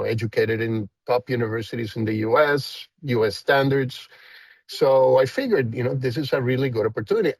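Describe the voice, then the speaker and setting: A man's voice: mid-range voice, dry recording, poor audio. A man in his fifties from Costa Rica with a thick Costa Rican Spanish accent, a guest chatting casually and rambling with many fillers. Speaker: guest, company founder. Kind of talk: casual interview chat.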